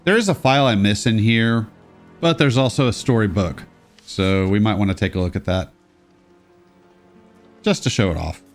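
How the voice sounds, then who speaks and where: A man's voice: fairly low pitch, normal speed, long pauses, soft background music. A congested young man giving casual, sarcastic gameplay commentary as a let's play host.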